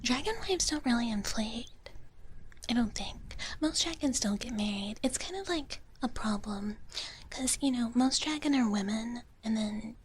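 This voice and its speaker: A woman voicing a gentle, naive dragon big sister in a soft breathy whisper, rambling hesitantly to viewers in a live ASMR stream. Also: a little high, lively intonation, unhurried. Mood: playful, musing. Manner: hushed, refers to herself in third person, hesitant rambling. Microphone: close ASMR microphone.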